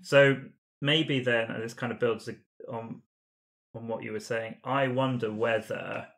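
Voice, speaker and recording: A man's voice: mid-range voice, steady tone, measured pace, gravelly, fairly bright tone. A man talking casually as a player in a tabletop roleplaying game, brainstorming story ideas aloud.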